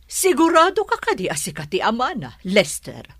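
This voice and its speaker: A woman's voice: fairly high pitch, huge pitch swings, normal speed, fairly bright tone, breathy edge, no room sound, studio quality, full character voice. An actress playing a suspicious, disapproving middle-aged mother with a pointed, insinuating manner in a radio drama. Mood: suspicious, scolding.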